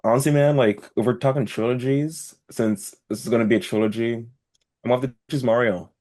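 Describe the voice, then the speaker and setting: A man's voice: mid-range voice, steady tone, fairly quick, darkish tone. A man co-hosting a podcast, chatting informally and emphatically with some mild swearing. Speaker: podcast co-host. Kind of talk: casual chat.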